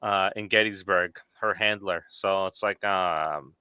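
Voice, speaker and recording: A man's voice: low voice, measured pace, smooth, dry recording, highs cut off. A man hosting a casual, informal podcast chat about horror movies in a conversational way. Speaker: podcast host.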